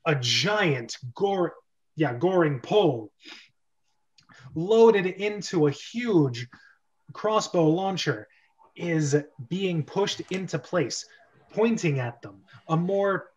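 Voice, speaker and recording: A man narrating a script read-through, casually reading stage directions in a halting way and correcting his misreadings. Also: high voice, lively intonation, highs cut off.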